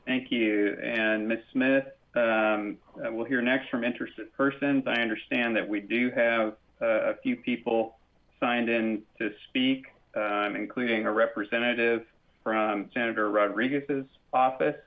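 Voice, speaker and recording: A man chairing a formal public meeting, measured and courteous, calling on commissioners and speakers with small fillers and repeats. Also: medium pitch, steady tone. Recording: tinny phone-like audio.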